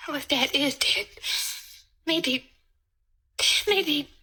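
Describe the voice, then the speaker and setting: A high, anxious radio ingenue. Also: female voice, very slow, sharp tone, exaggerated voice. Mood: distraught. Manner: hesitant, fretful, breaking off phrases. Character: anxious, fretful young daughter of a missing professor. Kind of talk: 1940s radio acting.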